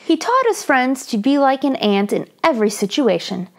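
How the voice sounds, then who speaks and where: A woman reading a children's story aloud in an expressive, deliberate storytelling style. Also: high-pitched. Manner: animated, deliberate storytelling delivery. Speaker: children's story reader, channel host.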